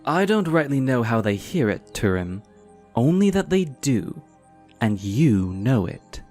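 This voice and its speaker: A man playing a folksy, dutiful dragon-riding lieutenant with an unhurried drawl in a fantasy audio drama. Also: medium pitch, big pitch swings, darkish tone, soft background music. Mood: matter-of-fact.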